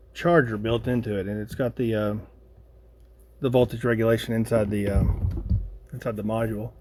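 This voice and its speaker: An American man hosting a hobby video, casually walking through a project unscripted, thinking aloud in a halting way. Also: medium pitch, dark tone.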